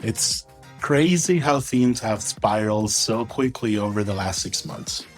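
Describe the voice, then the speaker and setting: A man from Venezuela, a guest on an interview podcast, talking in a relaxed, rambling way and often tagging his sentences with "right?". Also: on the low side, unhurried, soft background music, rough recording. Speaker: guest, coffee roaster and content creator. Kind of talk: casual interview talk.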